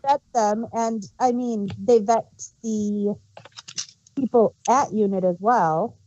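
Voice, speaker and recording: A woman's voice: medium pitch, steady tone, takes it slowly, warm tone, slight background noise. A woman talking casually as co-host of a review podcast, in an informal discussion. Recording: dull, dry lo-fi microphone.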